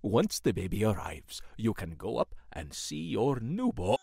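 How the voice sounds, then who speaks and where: A man playing a courteous, easily alarmed gentleman host with theatrical old-fashioned manners in a horror radio play. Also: lowish voice, very animated, unhurried, creaky, slightly rough, heavily acted. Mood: courteous, reassuring.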